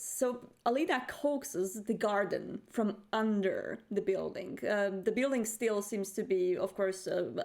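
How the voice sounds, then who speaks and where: A woman with an average-pitched, creaky voice speaks as a player in a tabletop game. She improvises descriptions of her character's actions in her own voice. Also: darkish tone.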